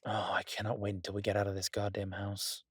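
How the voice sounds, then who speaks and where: A man's voice: on the low side, completely flat delivery, quick pace, breathy edge, dry recording. A man playing a doting, restless mafia boss in an audio roleplay, talking conversationally with theatrical exasperation. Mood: exasperated, griping. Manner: conversational, exasperated, swearing, playfully dramatic. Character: male mafia boss, doting, restless, stuck baking in a safe house. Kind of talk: audio roleplay, one side of an intimate phone conversation.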